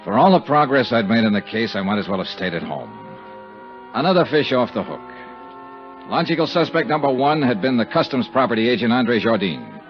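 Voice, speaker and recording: Actor as a hard-boiled investigator, very expressive pitch. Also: male voice, fairly quick, faint music behind, close to an everyday voice. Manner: clipped, hard-boiled, wry. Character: tough, wry male insurance investigator hero. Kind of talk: 1950s radio drama acting and first-person narration. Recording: muffled, noisy 1950s radio recording.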